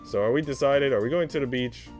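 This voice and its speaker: A man voicing a calm, teasing grown-up in a visual novel, gently firm and playful with a child. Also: mid-range voice, fast, soft background music, natural voice. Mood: playful, encouraging.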